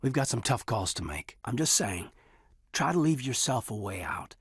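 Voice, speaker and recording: An actor playing an earnest, reserved military officer in his thirties in a sci-fi video game, speaking in a measured, hesitant, husky voice. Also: mid-range voice, sing-song, fairly bright tone, no room sound, studio quality. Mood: earnest concern. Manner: hesitant, measured, sincere, deferential. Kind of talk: video game character dialogue.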